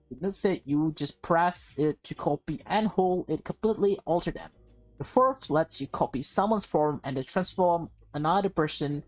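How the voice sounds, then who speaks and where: A woman acts out a nervous young man from a visual novel game. The voice is halting, earnest and apologetic, over game music. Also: lowish voice, a little mellow. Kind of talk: visual novel read aloud in character.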